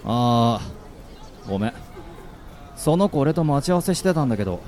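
A man playing a cool, reluctant but decent high school boy in an amateur radio drama, speaking in curt, offhand slang. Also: animated, moderate pace, darkish tone, lightly acted. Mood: calm, hesitant.

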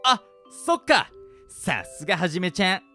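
A man's voice: sits high, sing-song, moderate pace, bright, forceful tone, faint music behind, full character voice. A man playing a careless, breezy young male student in an amateur radio drama. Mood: puzzled.

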